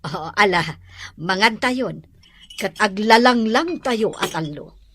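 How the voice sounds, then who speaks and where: A woman's voice: mid-range voice, animated, slow pace, a bit bright, a little hiss or hum. A woman playing a gracious, hospitable married madam of the house in a drama, with an Ilocano accent. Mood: friendly, chatty. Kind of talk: acted dialogue in an Ilocano drama.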